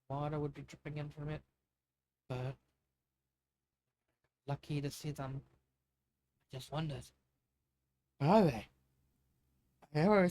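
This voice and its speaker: A man reading amateur fan fiction as an eager, wonder-struck young storyteller from an underground kingdom, in an earnest, halting way. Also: a little high, darkish tone, close to an everyday voice. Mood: wistful curiosity.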